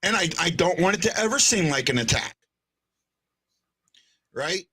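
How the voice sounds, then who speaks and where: A man's voice: high-pitched, animated, moderate pace, sharp tone. A man hosting a sports podcast in casual chat, often hesitating, restarting sentences and checking in with "right?". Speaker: podcast host.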